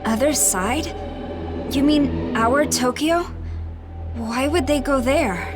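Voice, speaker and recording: Female, high-pitched young heroine for a game, earnest and caring, music underneath. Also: takes it slowly. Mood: puzzled, earnest. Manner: earnest, questioning. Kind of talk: dramatic video game voice acting. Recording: music behind the voice.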